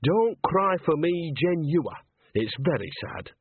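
An earthy old witch woman, as a male reader puts her on, chatting cheerfully and a little tipsily. The pitch is lifted and swings about in rustic storybook style. The sound is thin and narrow. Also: sing-song, slow, slightly rough, dry recording, exaggerated voice. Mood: cheerful, chatty.

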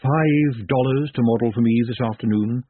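A man's voice: mid-range voice, moderate pace, a little hiss or hum, natural voice. A man voicing a shrewd, grand female dwarf who runs fashion shows in a storybook, confiding and condescending. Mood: businesslike. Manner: smooth-talking, confiding, condescending. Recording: muffled phone-like narrow band.

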